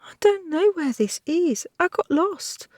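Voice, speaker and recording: A single reader voicing a frightened young woman who pleads and sobs in a high voice. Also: lively intonation, a little slow, darkish tone, dry recording, studio quality. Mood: pleading, tearful. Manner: pleading, sobbing.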